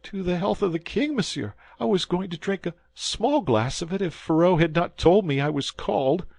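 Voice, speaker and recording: A timid servant, as a male reader puts him on, stammers in fright, his voice pitched well above the reader's own. The sound is close and dry. He sounds shaken and humble, in a storybook. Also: expressive, moderate pace, creaky, darkish tone, dry recording, heavily acted. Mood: frightened.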